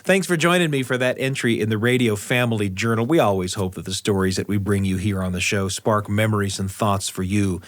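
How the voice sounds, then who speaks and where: A man hosting a radio show, telling stories and chatting with deliberate phrasing and dramatic pauses. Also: on the low side, steady tone, no echo, faint music behind. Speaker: radio show host and storyteller. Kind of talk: reflective personal storytelling and hosted studio conversation. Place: radio studio control room.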